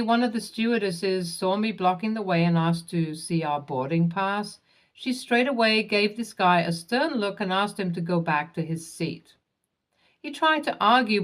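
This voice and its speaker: A woman narrating online posts in a scripted, even reading that spells out acronyms letter by letter. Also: medium pitch, moderate pace.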